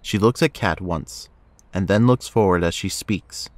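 A man's voice: sits low, measured pace, no echo. A man reading scripted narration for an audio drama.

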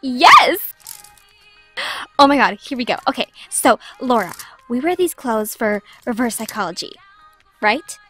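Brash, bubbly high-voiced girl played by a woman. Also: lively intonation, measured pace, long pauses, pushed and bright, soft background music, heavily acted. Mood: excited, brash. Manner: flippant, gushing. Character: brash, bubbly, bossy teenage girl mentor. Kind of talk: audio drama acting.

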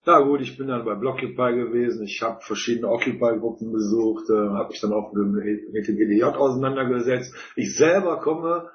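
A man's voice: mid-range voice, brisk, hardly any pauses, a little hiss or hum. A man introducing himself as an activist, hesitant and full of fillers, over an online voice chat. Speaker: activist, participant. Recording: echoey, muffled online voice chat.

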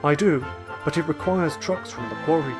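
A man voicing a big, gentle, sensible male engine who speaks in a calm, mild way. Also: fairly high pitch, moderate pace, over music, voice pushed up, natural voice.

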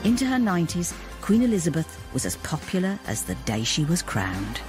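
A narrator reads a scripted documentary-style piece. The voice is mid-pitched and moves widely in tune, with music clearly playing behind. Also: wildly swooping pitch, fairly quick.

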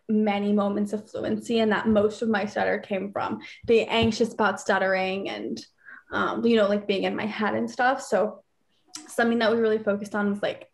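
A young woman on a video call from her bedroom, speaking with many fillers and occasional stutters. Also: medium pitch. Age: young adult. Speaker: teen advisory council member. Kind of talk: casual panel discussion on video call. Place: bedroom at home, indoors. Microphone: laptop webcam on video call.

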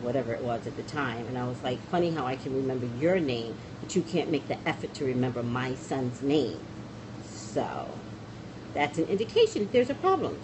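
A woman's voice: medium pitch, background noise. A middle-aged woman hosting a psychic livestream, chatting in a rambling way that keeps trailing off and restarting, filmed on a phone at arm's length in a small room. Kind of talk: casual livestream chat. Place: small indoor room against a plain wall. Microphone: phone camera at arm's length.

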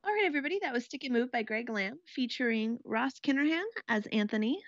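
A woman's voice: fairly high pitch, lively intonation, fast, no room sound, dull audio. A woman hosting a podcast, presenting and discussing plays. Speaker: podcast host.

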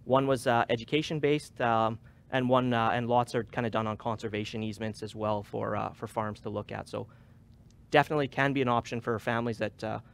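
A man's voice: mid-range voice, steady tone, brisk, gravelly. Casual hedging man on a panel. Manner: casual, hedging, many fillers. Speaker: farm transition advisor. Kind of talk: webinar panel answer. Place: stage with dark curtains.

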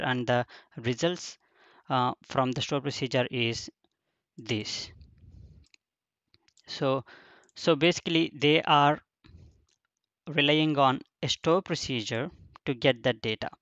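A man's voice: mid-range voice, a bit bright. A man in a middle register talks through a screen-recorded tutorial one step at a time, often stopping on "uh" and "um". The sound is dry and a little dull, like a home desk mic with no room echo. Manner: explains step by step with frequent fillers and restarts. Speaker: instructor.

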